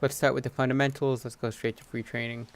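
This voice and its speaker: A man hosting a gaming video with casual, low-key commentary, at times reading game text aloud. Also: level tone, fast. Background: game music.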